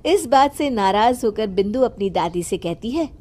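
A woman narrating a moral cartoon story in a plain, even storytelling manner. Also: mid-range voice, moderate pace.

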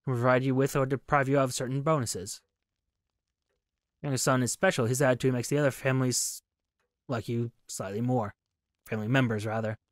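A man's voice: mid-range voice, fairly even intonation, fairly quick, pauses often, dry recording, clean studio sound. A man doing casual game commentary in a musing, curious, hesitant way as he plays and reads along. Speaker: gaming video host. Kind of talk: casual game commentary mixed with reading dialogue aloud.

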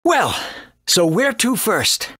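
A man's voice: sits high, sing-song, slow, pushed and bright, slightly rough, no room sound, clean studio sound, exaggerated voice. A man playing a helpful mentor in a video game, speaking in an instructive way.

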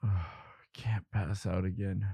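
A man playing a devoted, protective boyfriend in a dramatic audio roleplay, with an emotive, halting delivery. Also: sits low, flat delivery, gravelly, dark tone, husky, no echo, exaggerated voice. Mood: pained, dazed. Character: devoted boyfriend of a vampire, protective, frantic, defiant.

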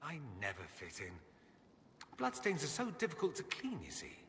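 A man's voice: on the high side, wildly swooping pitch, moderate pace, a bit bright, slight background noise, exaggerated voice. A man voicing a witty, haughty young nobleman mage in a video game, with arch, sardonic, theatrical delivery. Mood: sardonic, amused. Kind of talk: video game dialogue acting. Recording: echoey hall with long reverb.

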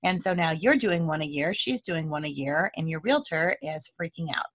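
Low-pitched American woman hosting a training webinar. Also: lively intonation, moderate pace, fairly bright tone. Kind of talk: informal training presentation.

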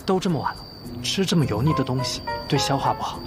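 A young actor playing a bossy, fussy, protective executive in a TV romance drama. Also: male voice, medium pitch, animated, fast, loud music behind the voice, close to an everyday voice. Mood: fussy, persuading. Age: young adult.

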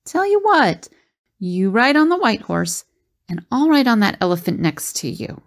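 A woman's voice: fairly high pitch, big pitch swings, measured pace. A woman playing a sensible, perceptive, worried adventurer in an audio drama. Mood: puzzled.

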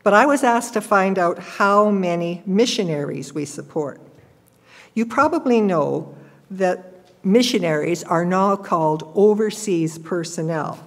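A woman's voice: fairly low pitch, measured pace. A woman, reading a prepared report plainly and carefully at a church lectern. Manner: plain, careful, matter-of-fact. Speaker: church member giving a report. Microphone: gooseneck lectern microphone. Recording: reverberant church hall.

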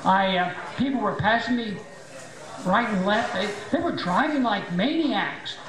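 Squeaky-voiced older man doing stand-up. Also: unhurried. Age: 70s. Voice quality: squeaky, high voice after voice surgery. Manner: dry, self-mocking, deadpan jokes. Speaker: stand-up comedian. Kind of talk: stand-up comedy set. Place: small tavern stage with audience. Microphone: handheld mic. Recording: echoey bar room with crowd.

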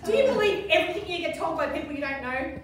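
A woman's voice: extremely high pitch, lively intonation, rapid-fire. A woman playing a blunt, sarcastic Dorothy in an amateur pantomime. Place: community room with seated audience, far camera. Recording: distant, echoey, noisy hall recording with crowd.

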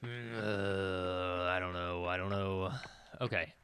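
A man's voice: deep voice, steady tone, takes it slowly, a bit bright, a little hiss or hum. A man in his thirties giving casual gameplay commentary, talking to himself in a self-deprecating way. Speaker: let's play gamer. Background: faint game music.

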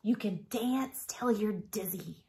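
A woman in her thirties reading a picture book to children like a schoolteacher, gently and expressively, softening to a breathy hush at line ends. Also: mid-range voice, slow pace, slight background noise. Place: small living room at home.

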